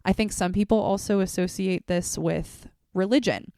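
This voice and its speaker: Earnest, chatty woman with a smooth voice. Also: medium pitch, fairly even intonation, moderate pace, dry recording, studio quality. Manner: chatty, earnest, warm welcoming tone with frequent fillers. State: slightly congested from a cold or allergies. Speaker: podcast host. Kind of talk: solo podcast monologue.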